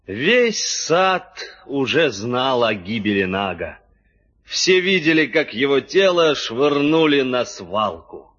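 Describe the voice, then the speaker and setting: A man's voice: medium pitch, very animated, slow, fairly bright tone, heavily acted. A man narrating an animal fairy tale in a dramatic storytelling style. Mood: solemn. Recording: muffled old vinyl record.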